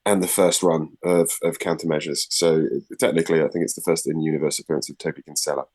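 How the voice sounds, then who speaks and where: Deep-voiced man, hedging interview guest. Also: flat delivery, quick pace, a little mellow. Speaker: guest, writer and script editor. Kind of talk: casual interview recollection.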